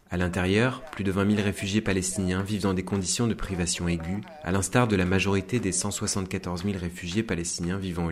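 A man reading scripted documentary narration as a radio reporter. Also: low-pitched. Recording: faint ambient background noise.

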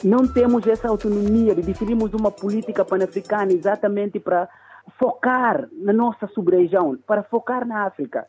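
A man's voice: sits high, fairly quick, a little mellow, husky, dry recording, soft background music. A man giving political analysis in an interview over a phone-like line. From Guinea-Bissau.

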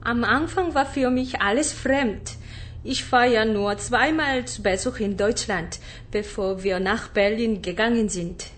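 A woman playing a gentle young female student from Hong Kong, speaking carefully and deliberately in a scripted language-course radio drama. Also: on the high side, lightly acted. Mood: earnest.

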